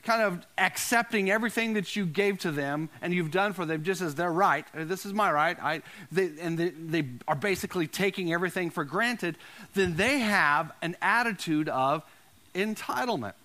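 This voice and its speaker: A man's voice: high-pitched, moderate pace, pushed and bright. A man preaching a conversational sermon as a pastor, repeating phrases for emphasis and putting questions to his listeners.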